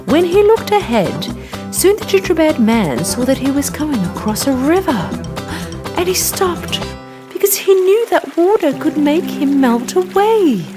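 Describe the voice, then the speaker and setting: A woman narrating a children's story in an animated, expressive way. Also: high-pitched, measured pace. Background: music.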